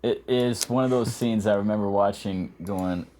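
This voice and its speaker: A man's voice: fairly low pitch, fairly even intonation, normal speed, slight background noise. A man co-hosting a podcast, talking in a casual, chatty style. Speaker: podcast co-host. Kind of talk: casual chat. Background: loud music behind the voice.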